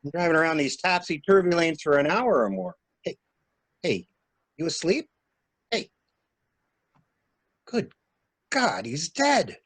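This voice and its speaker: A man's voice: a little high, sing-song, a little slow, long pauses, breathy edge, no echo, full character voice. A man playing a talkative, flustered stranded engineer with a polite, stammering, theatrical manner in a murder mystery reading. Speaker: actor in an amateur library reading.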